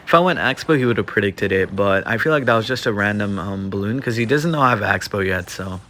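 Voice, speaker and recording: A man's voice: fairly low pitch, fast talker, slight background noise. A young man giving casual play-by-play commentary over his own mobile game match, with lots of filler words. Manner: relaxed, filler-heavy play-by-play with many "like" and "um". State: slightly losing his voice. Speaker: gaming youtuber. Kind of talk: casual live gameplay commentary.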